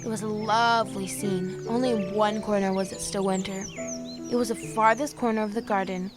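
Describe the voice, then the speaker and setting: A woman as a gentle storybook reader. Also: mid-range voice, steady tone, normal speed, natural voice. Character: young woman reading a fairy tale aloud. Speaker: storyteller within the drama. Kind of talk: audio drama. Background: music.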